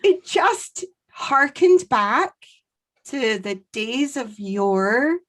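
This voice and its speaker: A woman in her forties from Scotland with a softened Aberdeenshire accent, co-hosting a casual podcast chat from home into a studio mic and laughing often. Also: fairly high pitch, very animated, very slow. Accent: Aberdeenshire Scottish, softened. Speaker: podcast co-host. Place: home room. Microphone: studio mic on boom arm.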